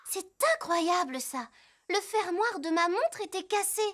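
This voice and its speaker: A woman's voice: very high-pitched, lively intonation, unhurried, background noise. A woman playing a suspicious, direct young girl in a French cartoon dub. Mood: puzzled, suspicious.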